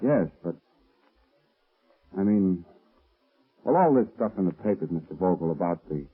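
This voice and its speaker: Husky, nervous guilty accomplice. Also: male voice, deep voice, lively intonation, exaggerated voice. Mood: nervous, conscience-stricken. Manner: hesitant, halting delivery. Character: nervous, guilt-ridden male family man, meek accomplice. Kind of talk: 1930s-40s radio drama acting. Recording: muffled, tinny vintage radio recording.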